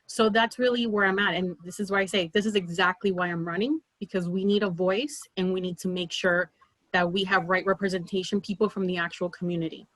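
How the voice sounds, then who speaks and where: A woman in her thirties, from Peru, making an earnest campaign pitch on a video call with many fillers and restarts. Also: steady tone, a little fast, fairly bright tone, no room sound, rough recording. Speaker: political candidate.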